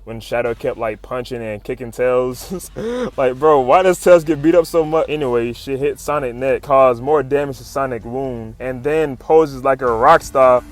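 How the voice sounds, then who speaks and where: A young adult American man giving an excitable, casual recap as a commentator, in a slangy, playful fan style. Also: medium pitch, moderate pace. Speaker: YouTube comic commentator.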